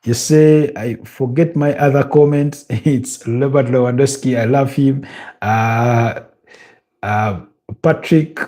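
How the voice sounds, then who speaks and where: Man, Ugandan accent, livestream host, mid-pitched, mellow tone, casual, lots of ums and uhs. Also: fairly even intonation. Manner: chatty, unhurried, many filler sounds while reading comments. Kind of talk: casual live commentary reading chat comments.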